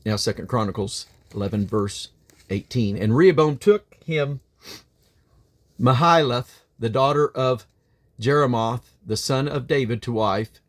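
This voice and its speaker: A man reading the Bible aloud as a reader, phrasing deliberately and now and then stumbling over names. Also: medium pitch.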